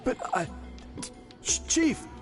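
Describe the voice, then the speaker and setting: A man's voice: slow pace, soft background music, lightly acted. A man voicing a proud but easily flustered, devout disciple in a video game. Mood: flustered, apologetic. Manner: earnest, formal, flustered.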